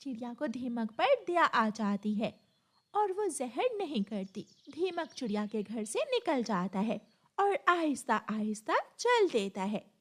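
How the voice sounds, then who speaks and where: High-pitched animated woman storyteller. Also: measured pace. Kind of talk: scripted children's cartoon narration.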